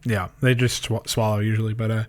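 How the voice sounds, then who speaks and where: A man's voice: lowish voice, level tone, a little fast. A man hosting a podcast, chatting casually in a conversational way. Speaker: podcast host.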